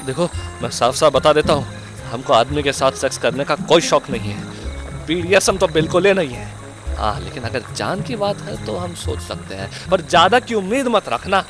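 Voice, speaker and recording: A man's voice: fairly high pitch, very animated, normal speed, over music. A man playing a cowardly, foul-mouthed comic captive in a horror-comedy audio drama, stammering, whining and swearing. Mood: pleading.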